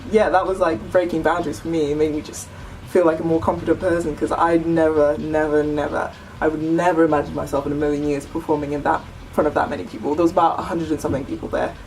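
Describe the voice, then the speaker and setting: Deep-voiced young woman, chatty vlog. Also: level tone, fast talker, hardly any pauses, a little mellow, distant mic, slight background noise, rough recording. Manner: chatty, earnest, many "like" and "you know" fillers. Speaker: vlogger. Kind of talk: casual chat to camera.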